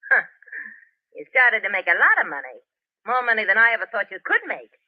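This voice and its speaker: An actress playing a brazen, scornful, drunken gold-digging wife in 1930s radio horror, slangy, taunting and melodramatic. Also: female voice, fairly high pitch, sing-song, fairly quick. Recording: dull, band-limited 1930s radio recording.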